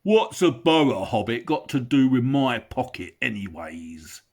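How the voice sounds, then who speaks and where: A man voicing a big, coarse male troll who is drunk and soft-hearted, speaking loudly and gruffly in slang. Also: medium pitch, sing-song, unhurried, voice pushed up. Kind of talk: audiobook character voice.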